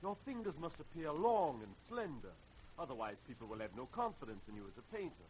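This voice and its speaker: An actor playing a pompous, disapproving duke and art patron in an old-time radio drama, on a noisy recording. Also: male voice, mid-range voice, big pitch swings, a little fast, a little mellow, close to an everyday voice. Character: pompous, objecting ducal patron of a painter. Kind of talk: 1930s-40s radio drama acting.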